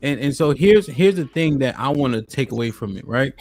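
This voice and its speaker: A man on a panel calmly summing up the point and steering the talk. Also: mid-range voice, a little fast. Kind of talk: heated panel debate.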